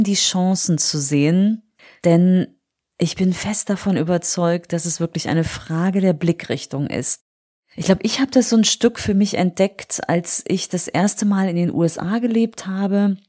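A woman's voice: fairly low pitch, mellow. A woman in her forties with a cold, hosting a motivational solo podcast and speaking earnestly and encouragingly to the listener. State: has a cold. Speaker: podcast host. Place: on holiday indoors.

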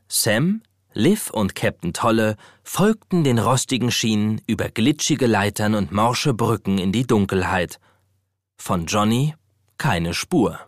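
A man's voice: lowish voice, no room sound, clean studio sound. A man narrating a scripted German children's adventure story.

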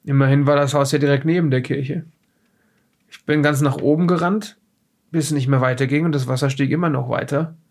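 A man playing a frightened, defensive scientist in a horror audio drama, speaking haltingly with a husky, gravelly voice. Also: on the high side, fairly even intonation, darkish tone. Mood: haunted, shaken. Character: frightened, defensive male scientist recounting occult horrors under questioning. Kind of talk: improvised audio drama dialogue.

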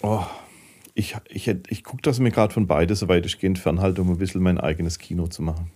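A man's voice: low-pitched, steady tone, a little fast, darkish tone, husky. A middle-aged man from southwest Germany chatting casually as a podcast guest, enthusiastic and often rambling as he restarts his sentences. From southwest Germany (Heilbronn area). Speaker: interview guest, filmmaker.

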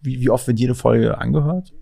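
A man's voice: medium pitch, normal speed, gravelly, warm tone, breathy edge. A man hosting a casual podcast chat, asking questions in a teasing, joking way with loose slang. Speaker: podcast host.

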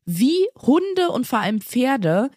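A woman co-hosting a podcast in casual chat, hoarse and raspy, giggling and playful. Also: sits high, big pitch swings, takes it slowly, darkish tone, dry recording, studio quality. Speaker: podcast co-host.

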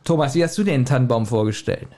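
A man co-hosting a podcast, casually retelling a story in a jokey, animated way. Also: mid-range voice, fast. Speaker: podcast co-host. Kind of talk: casual chat retelling an audio drama episode.

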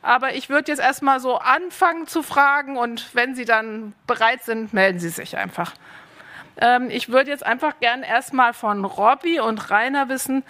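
A woman moderates a festival panel, her voice sitting a little high. She speaks in a friendly way and invites the audience to join the talk. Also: fairly bright tone. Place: panel stage at an arts festival. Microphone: handheld microphone.

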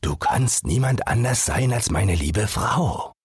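A man's voice: fairly low pitch, expressive, slow, breathy edge, no echo, studio quality, voice pushed up, heavily acted. A man voicing an earnest king and loving husband in a fairy-tale audiobook. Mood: moved.

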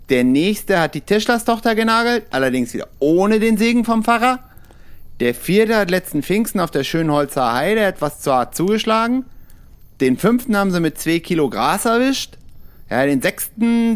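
Give this one a man acting out a foolish young miller's son from a fairy-tale radio play. He is simple and fearless, with a folksy, naive way of telling things. The voice sits fairly high for a man and swings up and down in a lively way. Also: clean tone, close to an everyday voice. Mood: chatty.

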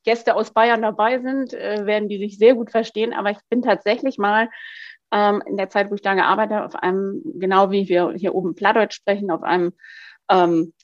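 A woman's voice: medium pitch, steady tone, brisk, no room sound. Chatty woman hosting, dull dry sound. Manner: loose, self-correcting, chatty. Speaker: podcast host and supervisor. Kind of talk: podcast interview.

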